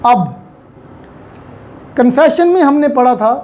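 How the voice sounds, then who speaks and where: Mellow-voiced Pakistani woman lecturing. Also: high voice. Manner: deliberate, repeats key points. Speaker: law lecturer. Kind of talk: explanatory lecture mixing in English legal terms. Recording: faint steady background noise.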